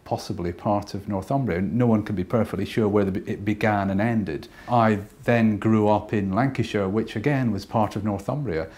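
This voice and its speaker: A man from Scotland who is a painter, talking thoughtfully and unhurriedly about his work, with pauses and fillers. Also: lowish voice, a little mellow.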